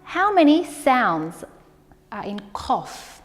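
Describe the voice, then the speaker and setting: A woman in her thirties teaching a language class, speaking slowly and repeating words so learners can model the sounds. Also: a little high, very animated, in a large hall, soft background music. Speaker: ESL teacher. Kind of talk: classroom teaching, slow and clear. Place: large classroom with brick wall, indoors.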